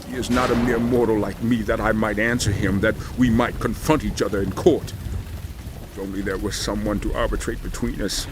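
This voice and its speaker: A man's voice: on the low side, steady tone, a little fast, noisy background, close to an everyday voice. An actor playing a bitter, anguished man who pleads his innocence to God in a theatrical, lamenting scripture drama. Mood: weary despair. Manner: impassioned, lamenting, rhetorical.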